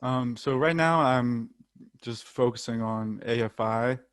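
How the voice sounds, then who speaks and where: A man's voice: medium pitch, measured pace, smooth, no room sound, studio quality. A young American man, a football player, chatting casually in an interview with frequent ums and the odd light laugh. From United States.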